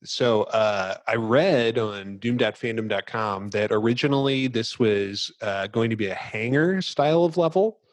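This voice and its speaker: A man with a fairly low voice hosts a casual gaming discussion. He explains in a loose, halting, rambling manner. The sound is dry, with very little room. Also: no echo. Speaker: podcast host. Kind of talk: casual video game discussion.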